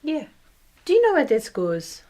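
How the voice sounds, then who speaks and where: An actress playing an eager, agreeable stagehand in a radio drama, speaking hesitantly and gently. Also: female voice, high voice, huge pitch swings, moderate pace. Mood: agreeable, tentative. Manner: hesitant, echoing the other's words. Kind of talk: naturalistic radio drama dialogue.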